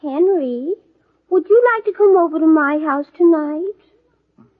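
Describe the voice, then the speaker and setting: Coy, high-pitched teenage sweetheart. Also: female voice, slow. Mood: coaxing. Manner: coy, cooing. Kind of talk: 1940s radio comedy acting. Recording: tinny, thin vintage radio recording.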